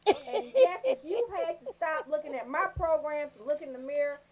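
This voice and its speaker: A woman's voice: high-pitched, expressive, normal speed, darkish tone. A woman telling comic stories as a radio show host, brash and freely swearing.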